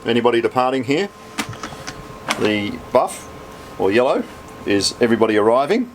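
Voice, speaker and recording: A man's voice: mid-range voice, very animated, normal speed. An Australian man in his sixties, an air traffic controller, explaining things casually in a laconic, matter-of-fact way.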